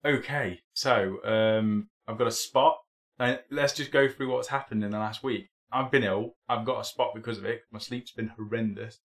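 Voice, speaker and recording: A man's voice: on the low side, fairly even intonation, moderate pace. A young man hosting a live hobby stream in casual, rambling chat, still a bit tired from a cold. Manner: rambling, chatty, many ums and restarts.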